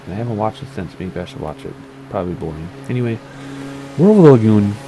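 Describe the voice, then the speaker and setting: A man's voice: lowish voice, big pitch swings, fast, noisy background. A man casually commenting on a flight sim game and reading place notes aloud, losing his voice and stumbling over names with a playful, rambling manner. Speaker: gaming streamer.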